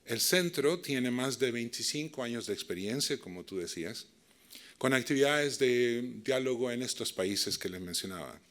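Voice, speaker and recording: A man's voice: mid-range voice, lively intonation, moderate pace. A middle-aged man from Chile with a Chilean accent, giving a master class and telling a story to a live audience. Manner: warm anecdotal storytelling with direct questions to the audience.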